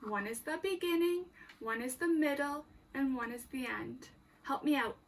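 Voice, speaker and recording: A woman teacher reading a picture book aloud to young children, expressive and emphatic, addressing them directly with questions. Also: high-pitched, moderate pace.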